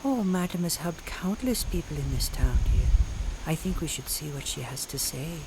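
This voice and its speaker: A woman playing a kindly, credulous small-town wife, gentle and polite, in a radio drama. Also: low-pitched, lively intonation, moderate pace, noisy background. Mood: kindly, fussy. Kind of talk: old-time radio drama acting.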